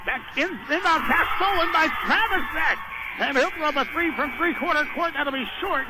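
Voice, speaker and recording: A man doing live radio play-by-play of a basketball game in clipped phrases, rising to excited shouts on big plays. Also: very high-pitched, moderate pace. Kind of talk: live radio sports commentary. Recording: noisy radio broadcast from a gym.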